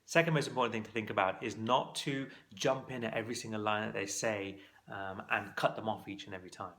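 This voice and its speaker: A man with a fairly low voice and a gravelly edge talks straight to camera as an exam course tutor. He coaches in a practical, conversational way. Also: steady tone, quick pace, fairly bright tone. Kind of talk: instructional talk to camera.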